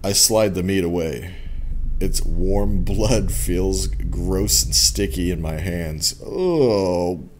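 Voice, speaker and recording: A man's voice: deep voice, slow pace, background noise. A young man hosting a casual let's play, chatting informally and reacting playfully as he goes. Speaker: gaming YouTuber host. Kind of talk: casual let's play commentary, reading game text aloud. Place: indoor home gaming setup. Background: game music.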